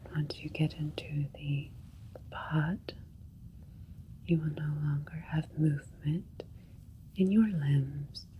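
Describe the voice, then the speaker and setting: A woman playing a calm, clinical alien doctor in an ASMR sci-fi roleplay, whispering breathy, soothing, methodical instructions. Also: sits low, takes it slowly, pauses often, muffled recording. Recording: faint ambient noise. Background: faint spaceship ambience.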